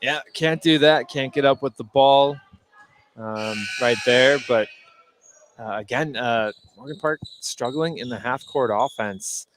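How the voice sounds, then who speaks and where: A man giving conversational color analysis during a live basketball broadcast, thinking aloud in a halting way. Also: medium pitch. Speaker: color commentator. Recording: live broadcast with faint background noise.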